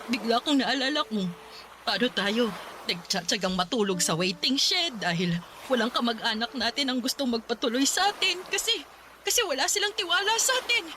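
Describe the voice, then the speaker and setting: An actress playing a loving, thrifty, emotional mother in a Tagalog radio drama, fretting and tender. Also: sits high. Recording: music bed behind the voice.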